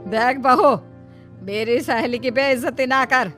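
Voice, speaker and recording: A woman voicing a spiteful, scheming middle-aged mother-in-law in a dramatised moral story, scolding with drawn-out exclamations. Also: sits high, a bit bright, soft background music, pitched above the performer's own voice. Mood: scolding, disdainful.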